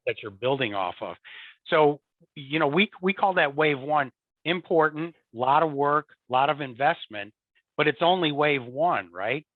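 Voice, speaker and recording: A man's voice: a little high, animated, a little slow, no echo. Man on a tinny dry call line. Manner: repeats words, many "you know" and "right?" tags. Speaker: guest, support and field services executive. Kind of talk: conversational interview.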